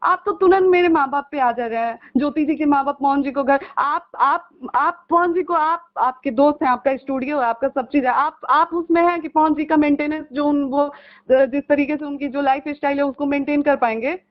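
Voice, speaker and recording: A woman's voice: high-pitched, brisk. A young woman, arguing on a recorded phone call in an insistent, pleading way and repeating herself. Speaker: caller. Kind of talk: heated private phone conversation.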